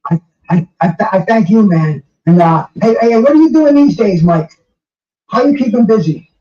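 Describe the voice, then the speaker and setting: A slightly dull, roomy recording carries an older man a little way from the mic. His voice is fairly high, and he interviews casually and admiringly, often stammering over repeated words. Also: lively intonation, normal speed, dark tone. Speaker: host interviewer, boxing fan. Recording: muffled, roomy, distant from the mic.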